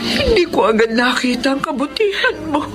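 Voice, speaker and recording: A woman's voice: high voice, big pitch swings, moderate pace. Elderly Filipino mother, radio drama, swooping tearful pleading, remorseful, music underneath. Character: remorseful, ailing elderly mother of a grown son.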